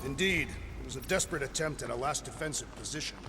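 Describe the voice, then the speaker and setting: Deadpan alien warrior in a game, super formal and literal, long echo. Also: male voice, mid-range voice, fast, slight background noise. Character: solemn, literal-minded male alien warrior. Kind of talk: video game voice acting.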